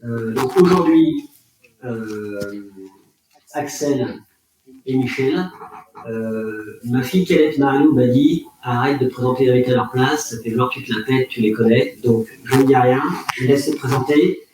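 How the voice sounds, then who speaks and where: Chatty male host of a live panel discussion, mid-pitch voice, heard from a distance in a very echoey office. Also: unhurried, darkish tone. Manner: chatty, informal, digressive. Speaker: host, moderator. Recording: very echoey room, distant mic.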